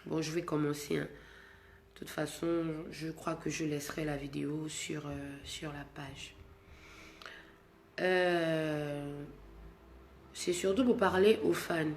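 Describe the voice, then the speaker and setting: A woman with the flu talks to her fans, slowly and with repeated restarts. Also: low-pitched, steady tone, roomy sound, slight background noise. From Gabon. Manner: starts quiet and subdued, grows loud and insistent when explaining. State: sick with flu. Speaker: singer addressing her fans. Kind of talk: direct-to-camera talk to fans.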